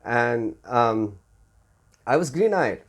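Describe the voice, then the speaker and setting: A middle-aged man from Thailand and India hosting an informal show, telling personal stories in a halting way with repeated words. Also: mid-range voice, expressive. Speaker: podcast host. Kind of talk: informal personal storytelling to listeners.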